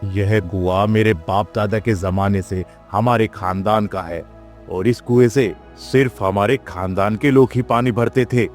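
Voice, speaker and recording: A man's voice: on the low side, fairly even intonation, a little slow, soft background music, natural voice. A man voicing an arrogant, greedy village landlord in a Hindi moral-story cartoon, haughty and demanding. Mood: defiant.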